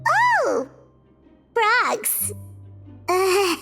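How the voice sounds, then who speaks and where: A woman voicing a tiny, childlike game companion, curious and excitable, with a chirpy, exclamatory delivery. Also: extremely high pitch, extremely slow, over loud music, full character voice. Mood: squeamish doubt. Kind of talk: video game character acting.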